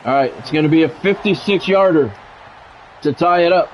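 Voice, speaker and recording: A man streaming a football video game, giving excitable play-by-play and bluntly talking at the players in short, urgent, repeated phrases. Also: fairly high pitch, normal speed, a little hiss or hum, rough recording. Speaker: gaming streamer doing play-by-play.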